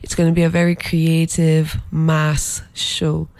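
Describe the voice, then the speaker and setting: A man from Saint Croix, a fashion designer being interviewed, who talks in a halting way with many filler pauses. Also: on the high side, monotone, creaky, a little mellow, no room sound. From Saint Croix, US Virgin Islands. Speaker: guest, fashion brand designer. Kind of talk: interview promoting an event.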